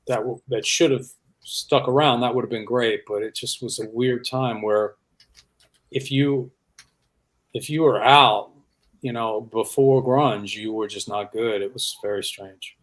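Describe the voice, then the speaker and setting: Guy who plays bass in a rock band, just chatting in an interview, everyday mid-range voice. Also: steady tone, measured pace. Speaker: rock bassist, interview guest. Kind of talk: casual interview talk.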